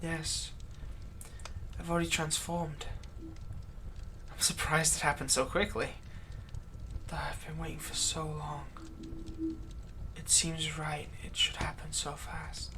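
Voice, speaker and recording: Man playing a vengeful vampire-to-be thrall, bright sharp voice, threatening, theatrical, breathy whispered drops, audio roleplay, some background noise. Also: on the high side, flat delivery, takes it slowly, long pauses. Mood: exultant, smug. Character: vengeful male thrall slave turning himself into a vampire. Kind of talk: roleplay audio acting, close ASMR monologue.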